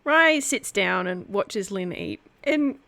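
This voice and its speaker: Woman, mid-pitched, lively intonation, some vocal fry, casual improvised role-play narration. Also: takes it slowly. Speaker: role-play player narrating her character.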